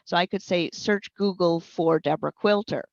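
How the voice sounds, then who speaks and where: Low, creaky-voiced woman explaining software. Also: moderate pace, no echo, dull audio. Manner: practical, instructive, gives examples step by step. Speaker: speech recognition consultant and trainer. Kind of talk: explanatory interview answers.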